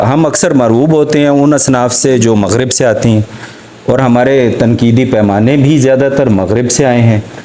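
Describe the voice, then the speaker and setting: A man lectures on literature in a Pakistani accent. His voice sits at a medium pitch and moves slowly. He is formal and deliberate, often halting mid-sentence before carrying on. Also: dark tone. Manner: halting, deliberate, with frequent hesitations and pauses. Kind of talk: formal academic lecture with poetry quotations.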